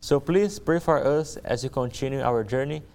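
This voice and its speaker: A young man from Brazil speaks English with a thick Brazilian Portuguese accent, his first language being Portuguese. He presents his missions work to a church congregation in an earnest, friendly way, choosing his words with care. His voice comes through smooth and clean, at a middling pitch. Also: moderate pace, a little mellow. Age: young adult. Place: church service.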